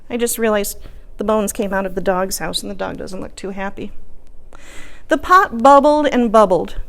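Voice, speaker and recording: A woman reading a children's story aloud, expressive and deliberate, with sing-song repetition. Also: mid-range voice, gravelly. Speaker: storyteller reading a picture book.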